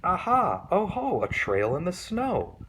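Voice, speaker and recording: A man's voice: high voice, wildly swooping pitch, slow, a bit bright, slight background noise, voice pushed up, heavily acted. A man voicing a curious, bold young female monster child in a children's storybook. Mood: excited. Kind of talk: children's picture book read-aloud.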